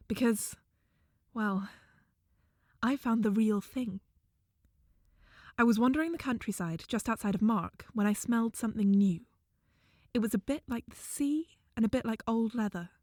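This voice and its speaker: A woman playing an earnest, adventurous field naturalist giving a measured, wonderstruck report in an audio drama. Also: a little high, a little mellow, no echo, studio quality, lightly acted. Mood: intrigued wonder. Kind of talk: scripted audio-drama narration.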